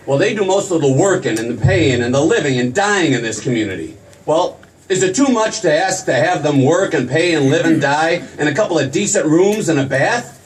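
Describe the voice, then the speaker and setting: An actor playing an eager young small-town dreamer in a radio drama, stammering and excitable, with a folksy manner. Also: male voice, a little high, a little fast, natural voice. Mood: indignant. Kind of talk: old-time radio drama stage acting. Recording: noisy stage recording from far off.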